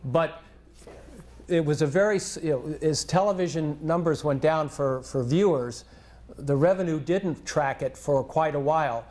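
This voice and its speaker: A man's voice: fairly high pitch, a little mellow. Roomy-sounding man answering at a conference. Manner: measured, thinking aloud with restarts. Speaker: conference presenter. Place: conference hall.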